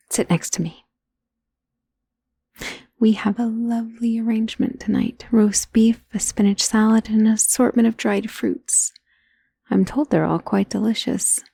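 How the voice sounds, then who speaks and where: Breathy, whispery ancient vampire lady, acted by a woman. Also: medium pitch, no echo, studio quality. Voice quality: soft, breathy, often dropping to a whisper. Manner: slow, tender, teasing, genteel endearments. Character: ancient female vampire, doting, possessive, genteel mistress. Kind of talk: ASMR roleplay, soft intimate acting to the listener.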